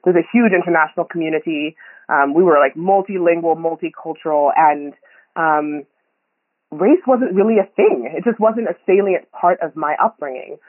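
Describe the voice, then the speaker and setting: A woman's voice: on the low side, normal speed, no room sound. A woman from Kenya, a podcast guest, talking reflectively with many ums and frequent laughs. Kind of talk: conversational interview. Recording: tinny phone line, dry.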